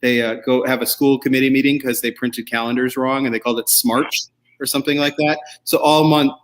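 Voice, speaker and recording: A man's voice: mid-range voice, fairly even intonation, a little fast. A man hosting a show in a casual, chatty way, rambling and joking as he goes. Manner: rambling, jokey. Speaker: show host.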